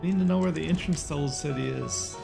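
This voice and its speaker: A man's voice: a little high, brisk, gravelly, over music. A man giving casual, exasperated live commentary as he plays a video game.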